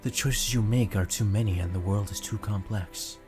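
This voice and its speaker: A man plays a sincere young hero in a fan audio drama, his voice fairly low and steady as he speaks from the heart. Clear music swells behind him. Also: moderate pace, close to an everyday voice. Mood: earnest. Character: earnest young man with ladybug brooches.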